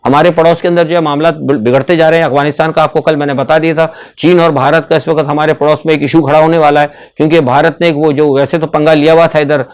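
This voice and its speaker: A man's voice: fairly high pitch, little pitch movement, quick pace, hardly any pauses, smooth. A man with a Pakistani accent giving unscripted, opinionated political commentary as a vlogger, conversational and mixing in English words.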